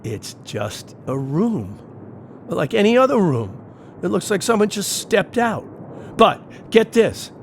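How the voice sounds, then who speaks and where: A man's voice: high voice, sing-song, slow, full character voice. An actor playing an uneasy, determined man investigating a house in an audio play, talking urgently and colloquially over faint noise. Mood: tense, hushed.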